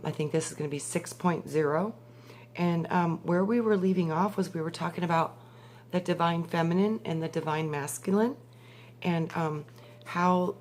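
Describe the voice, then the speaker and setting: A woman, hoarse from a cold, giving a slow, measured spiritual talk with many pauses. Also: sits low, darkish tone, a little hiss or hum. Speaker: spiritual teacher.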